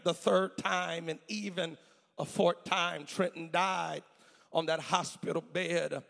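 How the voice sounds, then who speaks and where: Male preacher, heavy rasping creak, dramatic repetitive sermon delivery, recorded with long hall reverb. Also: high voice. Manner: theatrical, emphatic, repeats phrases, swings from hushed to shouted. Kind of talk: dramatic storytelling sermon with call-and-response.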